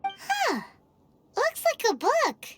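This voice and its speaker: A woman voicing a tiny, curious, excitable fairy companion in a video game, with a squeaky childlike voice. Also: extremely slow, noisy background, heavily acted. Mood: curious, excited. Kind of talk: video game character acting.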